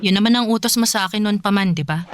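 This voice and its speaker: A woman's voice: medium pitch, fast, slight background noise, natural voice. A woman acting a hurt, bitter sister in a Filipino radio drama, with emotional, accusing delivery. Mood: cold, bitter. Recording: music behind the voice.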